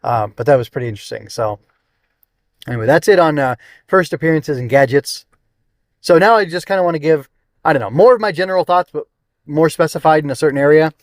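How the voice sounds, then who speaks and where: A man with a slightly high voice hosts a casual solo review podcast. He talks off the cuff, circling his thoughts and hunting for the right word. The sound is dry, with very little room around it. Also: fast talker, no echo. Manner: rambling, searching for words, thinking aloud. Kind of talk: casual unscripted review monologue.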